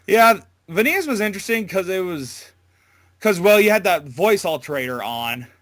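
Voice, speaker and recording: A man speaks casually as an interview guest, in a bright and fairly high voice. He is hesitant and informal, rambling as he explains himself. The sound is close and dry, with very little room. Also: expressive, normal speed, no room sound. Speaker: amateur voice actor being interviewed.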